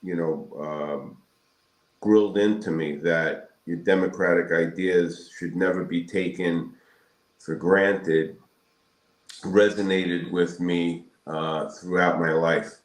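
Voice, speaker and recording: A man in his sixties from Brooklyn, answering interview questions in a measured, halting, reflective way with pauses between phrases. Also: low voice, fairly even intonation. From Brooklyn, New York, United States. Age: 60s. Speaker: guest, periodontal surgeon. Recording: roomy echoing sound.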